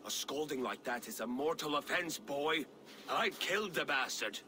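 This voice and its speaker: An actor playing an impatient, scornful Viking clansman in a video game, speaking in a curt, gruff way. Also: a little high, expressive, normal speed, background noise, close to an everyday voice. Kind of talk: dramatic video game acting.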